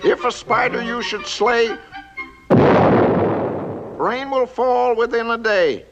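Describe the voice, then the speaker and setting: Casting: quirky, very high-voiced cartoon rhymer, singsong delivery, on a dull, noisy old film soundtrack. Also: male voice, slow, loud music behind the voice, exaggerated voice. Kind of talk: 1950s cartoon rhyme recitation.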